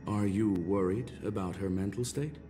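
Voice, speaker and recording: An actor playing a courteous, rational robot scholar in a video game, calm and regretful, with formal, measured, precise diction. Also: male voice, on the low side, over music, close to an everyday voice.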